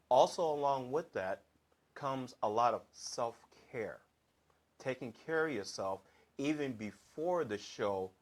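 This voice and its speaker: A man hosting a talk show as a hypnotherapist, speaking earnestly and haltingly with frequent fillers and restarts. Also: mid-range voice. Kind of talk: slow, earnest monologue introducing a guest.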